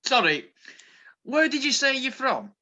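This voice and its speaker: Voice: sits high, sing-song, a little slow, bright, forceful tone, highs cut off, exaggerated voice. A performer playing a wry, dry-witted detective. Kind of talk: stage comedy acting.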